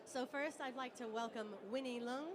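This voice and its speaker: A woman moderating a conference panel, introducing the speakers with brisk, upbeat energy. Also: sits high, steady tone, crowd noise.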